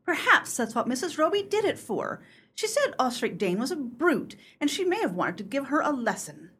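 A woman's voice: sits high, big pitch swings, normal speed, a bit bright. A woman playing a naive, good-natured society clubwoman in a radio play, speaking in an earnest, mild way.

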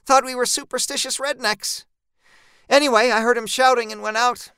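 A man voicing a blunt, resolute rural woman in a dark horror story, urgent and matter-of-fact. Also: very high-pitched, steady tone, normal speed, smooth, no room sound, clean studio sound, pitched above the performer's own voice, heavily acted. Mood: grim, urgent. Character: gritty rural woman, blunt, resolute monster-fighting neighbor. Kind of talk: dark horror audiobook character voice.

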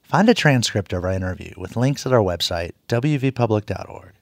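A man's voice: fairly low pitch, expressive, fairly quick. A man hosting a public radio program, moving between interview questions and scripted narration. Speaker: radio host.

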